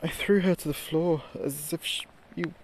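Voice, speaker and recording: An actor playing a frightened late-night radio DJ giving a halting, haunted field report in a horror audio drama. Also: male voice, fairly high pitch, fairly even intonation, natural voice. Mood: frightened, guilty. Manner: halting, hesitant, haunted delivery. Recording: noisy outdoor field recording with ambience.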